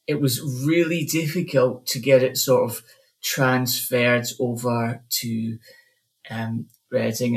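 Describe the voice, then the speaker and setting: A man with a Glasgow accent, chatting on a podcast as a new co-presenter, talking in a loose, rambling way with lots of fillers. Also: medium pitch. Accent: Glaswegian. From Scotland. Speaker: guest co-presenter, charity ambassador. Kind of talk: casual podcast chat.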